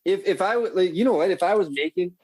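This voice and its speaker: A man telling wild stories as a podcast guest, with a profane, rambling, animated manner. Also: sits high, fast talker, husky, no echo. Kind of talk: casual storytelling chat.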